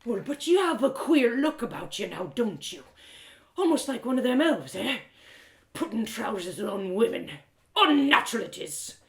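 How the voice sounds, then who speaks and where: A woman's voice: a little high, very animated, moderate pace. A woman voicing an angry, bitter old male blacksmith with a menacing, threatening manner and rustic speech, as part of a storybook reading. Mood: suspicious, menacing. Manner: bellowing, threatening, rustic dialect. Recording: roomy echoing sound.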